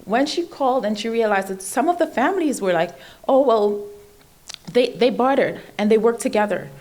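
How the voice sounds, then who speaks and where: Trinidadian woman giving a lively speech. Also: on the high side, normal speed. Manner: animated, laughs often, emphatic lists. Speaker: keynote speaker. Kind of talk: motivational speech. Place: event stage.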